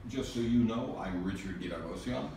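A middle-aged American man with a low voice leads an informal meeting in a teasing, joking way. He is recorded far from the mic in a very echoey office, with clear background noise. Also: moderate pace. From New England, USA. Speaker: think tank director hosting a meeting. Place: small office meeting room. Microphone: distant camera mic.

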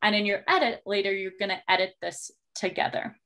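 A woman with a South African accent leads an online workshop over a video call, explaining shot types as her slides go by. Her pitch sits mid-range for a woman, and she talks in a chatty, explanatory way, with plenty of ums and quick asides. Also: pushed and bright.

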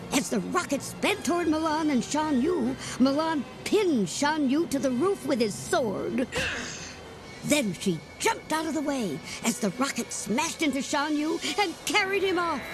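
Female storybook reader, expressive and animated, music under. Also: sits high, rough recording. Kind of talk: read-aloud storybook narration.

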